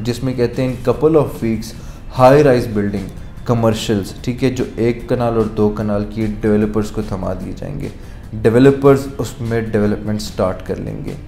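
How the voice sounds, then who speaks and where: A man's voice: medium pitch, vocal fry, darkish tone. Man in a roomy office. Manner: mixes English phrases into his talk, with fillers. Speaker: real estate presenter. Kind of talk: casual update to camera. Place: office, indoors. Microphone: lapel mic. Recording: roomy office echo, faint music.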